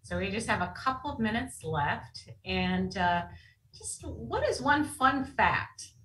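A woman's voice: medium pitch, very animated, takes it slowly, a bit bright. Woman moderator on a video call, upbeat, roomy echo, distant, faint noise. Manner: upbeat, laughs easily. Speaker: panel moderator. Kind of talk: moderating a panel.

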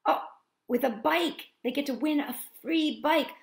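A woman's voice: high voice, measured pace, gravelly, reverberant. A woman hosting storytime for young children, reading a picture book expressively and addressing the children directly with questions. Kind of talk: picture-book read-aloud for young children with asides and sing-song greetings.

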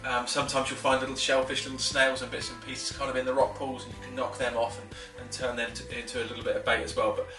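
A man's voice: medium pitch, level tone, bright, forceful tone. Upbeat man in a roomy living room. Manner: enthusiastic, chatty, with fillers and quick restarts. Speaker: fishing instructor. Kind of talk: casual tackle tutorial to camera. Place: living room at a wooden table. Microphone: camera a few feet away. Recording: roomy, slightly distant, faint music.

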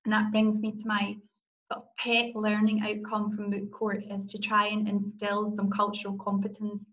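A woman's voice: mid-range voice, monotone, normal speed, dull audio. A middle-aged Scottish woman, a law professor and moot court coach, giving an enthusiastic, personal talk on a conference panel over a video call. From Scotland.

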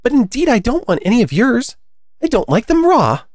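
A woman voicing a polite, bewildered little girl in a storybook, earnest and quick to protest. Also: on the high side, very animated, darkish tone, no echo, studio quality, pitched above the performer's own voice. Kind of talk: storybook character voice.

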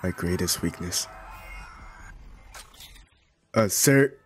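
A man improvising a comedic fan voice-over for a cartoon in a deadpan, goofy manner. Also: low voice, extremely slow, soft background music. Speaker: amateur fan dubber.

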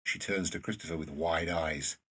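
A man's voice: a bass voice, moderate pace, a bit bright, slightly rough. A man narrating an audio drama from a script as storyteller.

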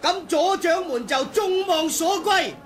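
A man's voice: extremely high pitch, animated, measured pace, fairly bright tone, slight background noise, exaggerated voice. An actor with a Hong Kong Cantonese accent playing a scornful male martial artist in an old radio drama, sneering and declamatory. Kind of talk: 1980s radio drama acting.

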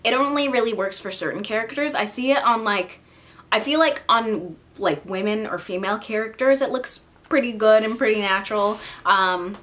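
A young woman with a raspy, sick voice chatting casually about her figure collection, with lots of ums and self-mocking asides, filmed at home at desk distance. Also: medium pitch, moderate pace, a bit bright. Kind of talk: casual unboxing chat. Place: home room with figure shelves. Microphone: camera at desk distance.

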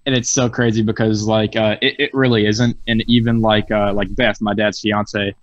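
A man's voice: fairly low pitch, level tone, normal speed, dry recording. A late-teen man, a podcast guest on a video call, talking casually with swearing and stumbling restarts. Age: teens. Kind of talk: casual chat. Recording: dull lo-fi video call audio.

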